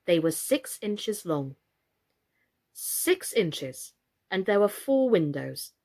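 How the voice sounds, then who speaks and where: A woman storyteller narrating a children's book aloud in an expressive, deliberate way. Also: mid-range voice. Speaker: storyteller, actress reading aloud.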